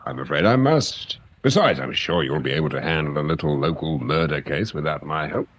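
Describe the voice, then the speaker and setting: An actor playing a courteous, shrewd, dry-witted police detective in a radio drama, speaking formally with measured understatement. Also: male voice, rumbling low, lively intonation, slight background noise. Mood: dry, composed.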